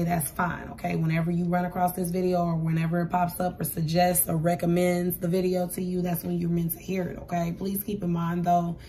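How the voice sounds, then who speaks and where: A hoarse, raspy woman giving a casual tarot reading at a table, chatty and repeating "okay?" to her viewers. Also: lowish voice, darkish tone, distant mic, slight background noise. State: hoarse, voice going for days. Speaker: tarot reader. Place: indoors at a table with cards and crystals. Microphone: camera across the table.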